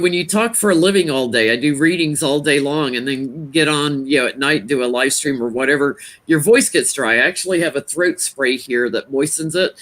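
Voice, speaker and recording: A man with a naturally loud voice chats on a home live stream as a tarot reader. He talks easily and rambles, laughing often, and sits a little back from the laptop that picks him up. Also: fairly high pitch. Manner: chatty and rambling, quick to laugh. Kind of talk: casual live stream chat. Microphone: laptop, sitting back from it.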